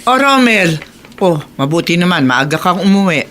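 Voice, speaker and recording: An actress playing a stern but caring older mother and grandmother in a radio drama, admonishing in measured words. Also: fairly low pitch, sing-song, over loud music. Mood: matter-of-fact. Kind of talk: Filipino radio drama acting. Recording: noisy radio drama recording.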